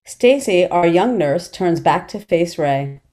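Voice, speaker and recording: A woman's voice: on the low side, lively intonation, takes it slowly, dark tone. A woman reading stage directions as scripted narration in a table read.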